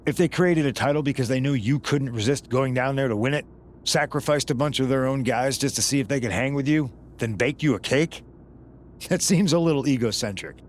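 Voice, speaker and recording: A man's voice: mid-range voice, a little fast, a little hiss or hum, lightly acted. A man performing a shrewd, supportive wrestling manager in scripted game dialogue, assured and matter-of-fact. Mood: reassuring.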